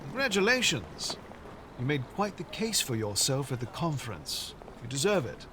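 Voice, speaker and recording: A genial, no-longer-young teacher in an audio drama. He is polite and formal, with a swooping, animated delivery over noticeable street ambience. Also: mid-range voice, moderate pace, background noise. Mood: admiring. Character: genial, courteous male schoolteacher, no longer young.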